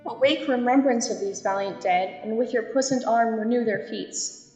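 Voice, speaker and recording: A woman acts a fervent, rousing bishop in a Shakespeare audio drama. The highish voice declaims verse in a strongly echoing space with music underneath. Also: moderate pace, darkish tone, lightly acted. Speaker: amateur actor in student audio drama. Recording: echoey hall, dull audio, music underneath.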